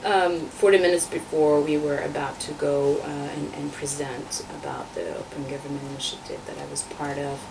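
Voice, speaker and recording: A woman's voice: low-pitched, moderate pace, vocal fry, hardly any pauses. A woman from Tunisia, born in France, answering interview questions about her assembly work, hesitant with many fillers and restarts. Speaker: constituent assembly member, guest. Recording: distant mic, noisy room ambience.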